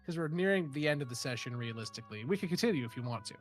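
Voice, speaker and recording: A man's voice: medium pitch, rapid-fire, faint music behind. A man with a stuffy, nasal voice from a head cold, casually running a tabletop game as game master with lots of fillers. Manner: relaxed, rambling with fillers and swearing.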